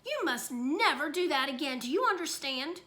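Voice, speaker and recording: A woman's voice: high voice, sing-song, moderate pace, pushed and bright, exaggerated voice. A woman voicing an anxious, stern young mother who worries and scolds. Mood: scolding.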